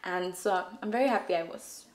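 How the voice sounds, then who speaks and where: A young woman, chatting warmly as an interview guest with lots of "like" fillers. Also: lowish voice, fairly quick, echoey room, slight background noise, low-quality recording. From Nigeria. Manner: warm, upbeat, many "like" fillers. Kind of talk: casual interview answers. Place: indoor studio on a sofa. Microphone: off-camera shotgun mic.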